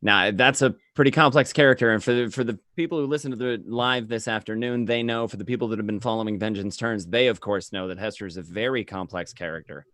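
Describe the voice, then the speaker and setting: A man's voice: lowish voice, fairly even intonation, quick pace, hardly any pauses, fairly bright tone, no room sound, studio quality. A man hosting a casual interview over a video call, effusive and full of compliments for his guests. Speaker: interview show host. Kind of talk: casual remote cast interview.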